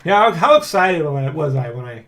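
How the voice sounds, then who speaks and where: A man hosting a casual dev blog, talking in a rambling, excitable way and often checking for agreement. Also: a little high, moderate pace, a little hiss or hum. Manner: rambling, keeps seeking agreement with "right?" and "you know?". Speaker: dev blog host and comic artist. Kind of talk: casual dev vlog chat, addressing viewers and co-worker.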